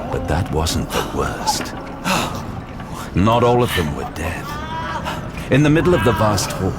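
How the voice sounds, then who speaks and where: A man's voice: sits low, heavily acted. A man playing a grave, intense demon hunter, narrating his own battle in a suspenseful audio drama. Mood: grim, shaken. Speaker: lead character and narrator. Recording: loud dramatic score and effects behind.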